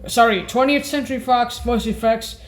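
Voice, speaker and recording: A woman giving an informal, halting demo of keyboard sounds, listing names one by one in a hesitant, deliberate way. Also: fairly high pitch, fairly even intonation. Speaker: presenter demonstrating keyboard voice sounds.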